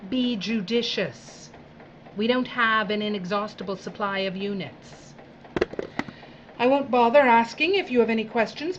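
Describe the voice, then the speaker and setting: A woman's voice: mid-range voice, muffled recording, a little hiss or hum, lightly acted. A woman playing a haughty, disdainful official of a dystopian regime in an audio drama, speaking in an imperious, clipped manner.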